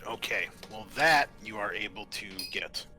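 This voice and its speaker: A man narrating an improvised tabletop game as game master, halting and often pausing mid-sentence. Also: on the low side, lively intonation, sharp tone, faint music behind.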